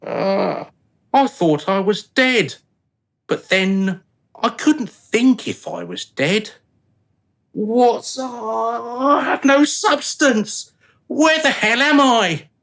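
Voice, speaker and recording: A man playing an anxious, pleading dead man in a radio play, excitable and flustered. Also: very high-pitched, extremely slow, gravelly, exaggerated voice. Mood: bewildered.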